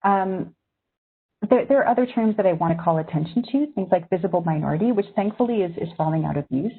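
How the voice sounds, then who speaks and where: A woman's husky, slightly low voice comes through thin, phone-like video-call audio. She grew up in Syria and speaks as a magazine editor, giving a reflective webinar talk to journalism students and weighing each point carefully. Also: expressive, quick pace. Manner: thoughtful, reflective.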